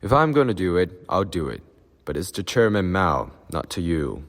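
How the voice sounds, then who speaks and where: A man's voice: deep voice, animated, moderate pace, big-room sound. A man voicing a defiant old male peasant who talks in a blunt, coarse way. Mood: shouting. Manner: blunt, coarse, toneless at times.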